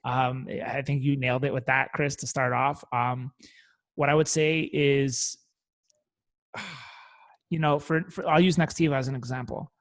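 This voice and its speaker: A man's voice: mid-range voice, fairly even intonation, measured pace, pauses often. A young man in casual chat, talking loosely with frequent swearing, fillers and restarts. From Italian family, parents from Naples. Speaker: guest marketer.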